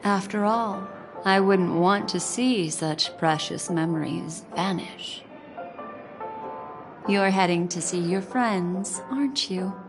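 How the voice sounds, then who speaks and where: A woman voicing an elegant, composed and mysterious game character, courteous and softly teasing in manner. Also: mid-range voice, takes it slowly. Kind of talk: video game voice acting. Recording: long reverb, music behind.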